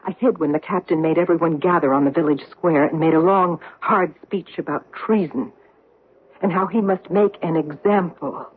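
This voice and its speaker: An actress in an old-time radio drama playing a vengeful survivor confronting her enemy with deliberate, accusing intensity. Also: lowish voice, steady tone, husky. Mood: bitter, haunted. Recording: muffled vintage radio recording.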